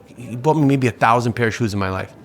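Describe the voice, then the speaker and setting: Halting, reflective middle-aged man. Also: medium pitch. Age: 40s. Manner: halting, repeats words, philosophical. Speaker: restaurateur guest. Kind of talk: reflective interview talk.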